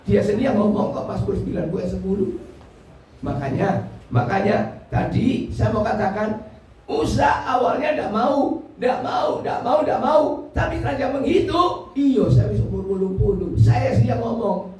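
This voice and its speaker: A man's voice: sits high, moderate pace, darkish tone. A man in his seventies with a Javanese Indonesian accent preaching an interactive sermon, insistent and repetitive, putting questions to his listeners. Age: 70s. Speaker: pastor preaching. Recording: very echoey hall, far from mic.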